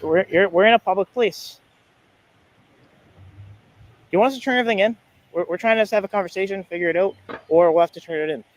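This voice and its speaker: A man in an on-the-street confrontation, speaking insistently and repetitively, with some halting starts. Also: high voice. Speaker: citizen investigator confronting a stranger. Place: outdoors in a public place. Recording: outdoor recording with faint ambient noise.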